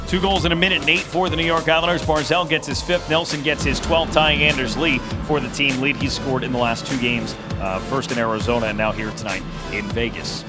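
A man in his thirties hosting a post-game sports show, giving a fluent, brisk recap into a handheld mic. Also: mid-range voice, fairly even intonation, over loud music. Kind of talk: broadcast sports recap, polished. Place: inside a large hockey arena. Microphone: handheld broadcast microphone.

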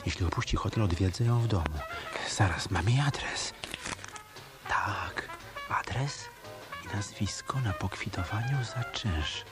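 Low voice tough private eye, wry and muttery, radio play w music behind. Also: male voice, animated, unhurried. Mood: brooding. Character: tough, wry male hotel detective. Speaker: actor in a radio drama. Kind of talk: Polish radio play acting.

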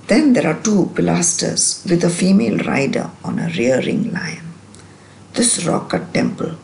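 A woman narrating a travel vlog voice-over in a measured, explanatory way. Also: low voice, creaky, darkish tone, a little hiss or hum.

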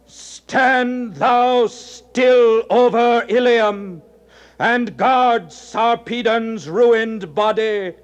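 Casting: man as a majestic sun god, solemn, slow, commanding, raised and loud. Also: high voice, highs cut off, pitched above the performer's own voice.

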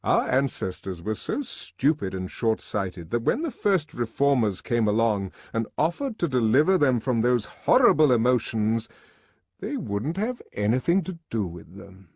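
A man's voice: medium pitch, huge pitch swings, measured pace, a little mellow, husky, no room sound, voice pushed up, heavily acted. A man voicing a lofty, commanding male world ruler with an oratorical manner in an audiobook. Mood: emphatic. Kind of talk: audiobook character acting.

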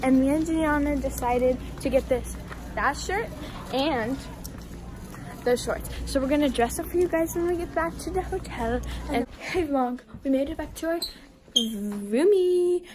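A woman's voice: high voice, normal speed. A teenage girl chatting casually to her vlog on a phone held at arm's length. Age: teens. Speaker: vlogger. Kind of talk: casual chat. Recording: noisy handheld phone vlog.

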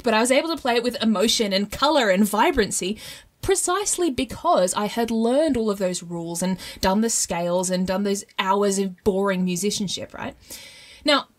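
A woman hosting a podcast, explaining things in a casual, animated storytelling way with frequent rhetorical check-ins. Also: mid-range voice. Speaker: podcast host.

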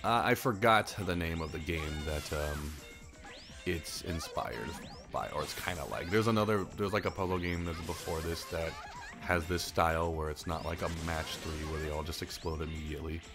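Low-voiced young man rambling over gameplay. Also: moderate pace, over music. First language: Japanese. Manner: rambling, self-mocking, chatty. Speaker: gaming channel host. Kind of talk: casual gameplay commentary.

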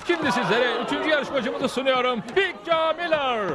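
Very high-pitched showy rodeo announcer. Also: male voice, normal speed, loud music behind the voice. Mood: showy, proclaiming. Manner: theatrical, proclaiming to a crowd. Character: showy male small-town rodeo announcer. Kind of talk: Turkish cartoon dub acting.

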